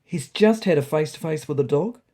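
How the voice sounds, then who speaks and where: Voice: mid-range voice, animated, fairly quick, mellow, natural voice. A narrator voicing a languid, easily impressed male cat who is the hero's matey pal in a storybook. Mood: concerned.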